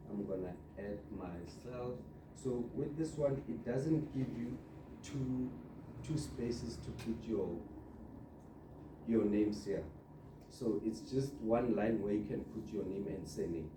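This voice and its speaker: A man giving an informal step-by-step software tutorial, instructing and often checking in with the listener. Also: fairly low pitch. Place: indoor room, filming a computer monitor. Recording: roomy echo, distant mic, clear background noise.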